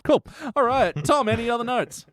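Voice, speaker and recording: A man's voice: very high-pitched, animated, normal speed, fairly bright tone, no echo. A man hosting a casual review chat, joking and bantering with his co-hosts. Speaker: podcast host.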